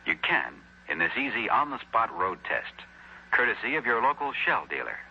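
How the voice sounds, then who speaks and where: A man's voice: mid-range voice, sing-song, moderate pace, slightly rough, highs cut off. A man with an American accent reading a punchy 1960s radio commercial on a vintage recording. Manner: punchy sales delivery.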